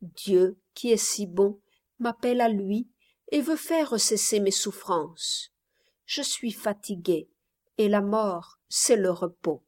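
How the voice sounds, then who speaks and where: A frail dying girl speaking in a soft, quiet, resigned voice, as a woman reads her lines. She talks slowly and gently in a storybook reading. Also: mid-range voice.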